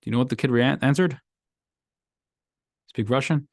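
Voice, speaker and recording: A man's voice: medium pitch, moderate pace, no room sound, clean studio sound. A man from Brooklyn, a rabbi giving a casual weekly Torah class in an emphatic, repetitive style. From Brooklyn, USA. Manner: animated, repeats phrases, emphatic rising lines. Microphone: microphone in class.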